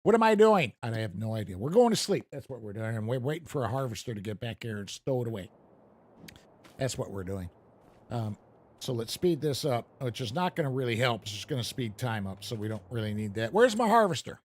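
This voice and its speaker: Animated, chatty American man streaming a game. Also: mid-range voice, a little fast. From United States. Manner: rambling, self-deprecating, chuckles at himself. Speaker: gaming streamer. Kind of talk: casual live stream commentary.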